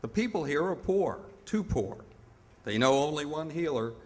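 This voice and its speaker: An actor playing an earnest, careworn hospital superintendent in radio theatre, halting and emphatic. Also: male voice, fairly high pitch, lively intonation, a little mellow, natural voice. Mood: grave, impassioned.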